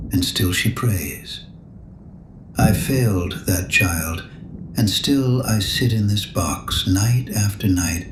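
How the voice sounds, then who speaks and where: An actor playing an elderly male priest in an audio drama, calm, gentle and earnest as he hears confession. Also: lowish voice, roomy sound, background noise. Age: older.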